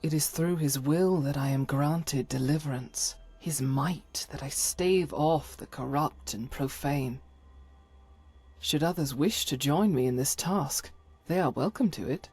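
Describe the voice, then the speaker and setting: A woman playing a devout, stern holy warrior in a video game, speaking in solemn, formal, measured phrases. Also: deep voice, a little mellow, breathy edge. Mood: reflective, earnest. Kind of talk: scripted video game character dialogue.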